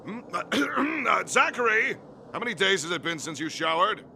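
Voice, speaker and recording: A man playing a formal, dutiful guard captain in a video game, stiff and sometimes halting. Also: high-pitched, lively intonation, creaky, pushed and bright, a little hiss or hum, heavily acted. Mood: stern. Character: dutiful male guard captain, flustered by old friend, stern with subordinates.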